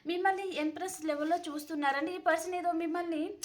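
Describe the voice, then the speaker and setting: Lady tarot reader w really high voice, chatty, talks right to viewer, on words, repeats stuff. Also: steady tone, fast talker. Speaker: tarot reader hosting a channel. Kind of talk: tarot reading addressed to the viewer, conversational.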